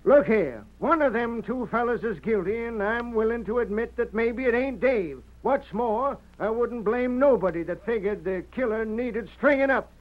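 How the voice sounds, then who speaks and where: A plain-spoken, blustery sheriff from an old radio western. His voice sits very high and sounds exasperated, full of folksy frontier slang. The vintage recording is muffled. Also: moderate pace, few pauses.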